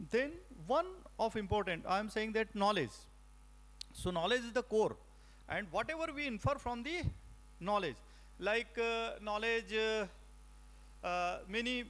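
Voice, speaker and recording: Indian English man, middle-aged, high voice, halting lecturer with many fillers. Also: a bit bright. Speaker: faculty trainer. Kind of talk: lecture to teachers.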